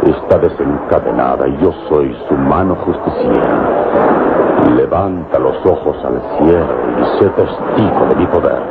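An actor playing a stern, commanding, mysterious desert hero in a vintage radio drama, speaking in a solemn, grandiose manner. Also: male voice, rumbling low, measured pace, gravelly, heavily acted. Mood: righteous wrath. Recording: dull, noisy old radio recording.

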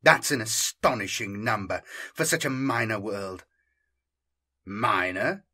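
A man narrating a science fiction audiobook in a measured, deliberate, dramatic storytelling manner. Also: lowish voice, animated, sharp tone, breathy edge.

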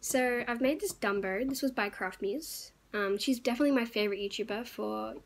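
A woman's voice: on the high side, a little fast. A young woman losing her voice, chatting casually and rambling as she shows off her crafts to viewers. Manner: chatty, rambling, lots of fillers and little laughs. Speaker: crafting YouTuber. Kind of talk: casual show-and-tell vlog.

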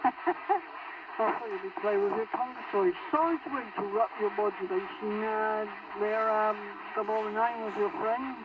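A man's voice: high voice, fairly even intonation, moderate pace, muffled recording. A man heard over CB radio, chatting casually with another operator. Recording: distant, noisy CB radio reception.

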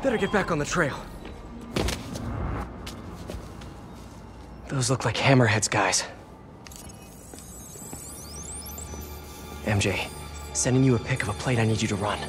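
Male actor as young American superhero, nervous rambler, quippy, very animated pitch, video game, music behind. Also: fast, lightly acted. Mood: focused. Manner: rambling, self-questioning, quippy.

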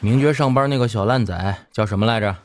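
A man's voice: fairly low pitch, fast talker, a little hiss or hum, natural voice. A man voicing an arrogant young rich heir. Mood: impatient. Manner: haughty, dismissive, coarse.

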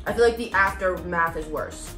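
A woman's voice: on the low side, moderate pace, pushed and bright. A woman in her twenties chatting casually to camera as a vlog host, with slangy, giggly talk. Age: 20s. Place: home kitchen and dining room. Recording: echoey kitchen with music behind.

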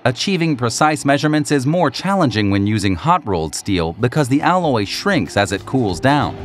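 A man reading scripted documentary narration in a measured, explanatory manner. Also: medium pitch, big pitch swings.

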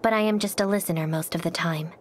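A woman voicing a cold, reserved, melancholy young swordswoman for a video game, speaking softly and breathily in a quiet, detached, formal manner. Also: fairly low pitch, fast, natural voice. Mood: cool, detached. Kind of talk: scripted game character voice lines.

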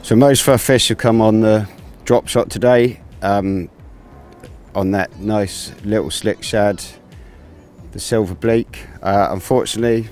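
Relaxed low-voiced man explaining fishing gear. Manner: relaxed, explains gear step by step with many fillers. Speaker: angling presenter. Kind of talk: outdoor fishing tutorial. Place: grassy riverbank outdoors.

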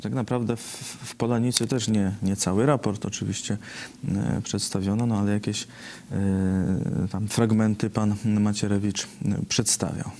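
A man's voice: lowish voice, little pitch movement, moderate pace, a little mellow, slight background noise. A man in his thirties, a guest editor on a studio talk show, who speaks hesitantly with many filler sounds.

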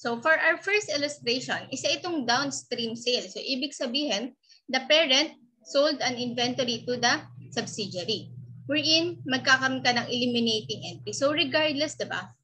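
A woman's voice: high-pitched, moderate pace, pushed and bright, slightly rough, rough recording. A young adult woman with a Filipino accent, giving an informal, conversational lecture as an instructor and switching between two languages. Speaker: accounting instructor.

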